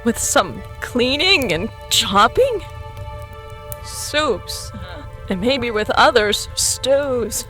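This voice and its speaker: A man voicing a gentle, practical village wife in an audio story. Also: very high voice, big pitch swings, very slow, faint music behind, voice pushed up, full character voice. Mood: hopeful wonder.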